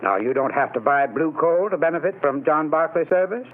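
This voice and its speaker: A man delivering a 1930s radio sponsor pitch as an announcer, in a formal, emphatic, declamatory way. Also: fairly quick. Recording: tinny, narrow vintage radio recording.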